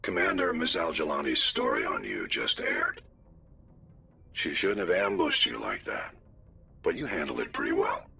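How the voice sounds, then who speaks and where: An actor playing a gruff, formal senior military commander in a video game, with a gravelly, husky voice. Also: male voice, extremely low pitch, big pitch swings, moderate pace, pushed and bright, heavily acted. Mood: matter-of-fact. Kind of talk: video game cutscene acting.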